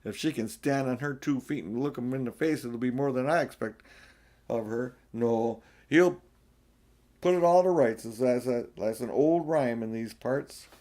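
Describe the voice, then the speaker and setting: A man's voice: mid-range voice, moderate pace, dark tone, natural voice. A man voicing a male talking beaver in a storybook, an earnest, solemn woodland creature with a folksy, emphatic manner. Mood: reverent, assured. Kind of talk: storybook character voice.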